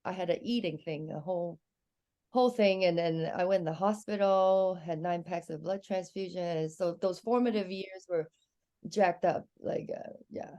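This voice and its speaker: A woman from Hong Kong with a noticeable Cantonese-tinged accent in her English, which is her second language. Her voice sits a little low for a woman. She tells personal stories in an open, animated way on a relaxed podcast chat. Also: moderate pace, dull audio. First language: Chinese. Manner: candid, animated storytelling. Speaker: guest comedian.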